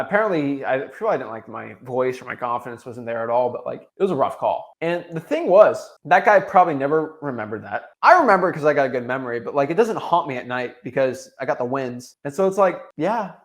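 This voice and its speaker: A man's voice: mid-range voice, lively intonation, quick pace, hardly any pauses. A young American man giving an unscripted motivational talk as a coach, emphatic and repetitive, with informal slangy phrasing. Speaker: online business coach.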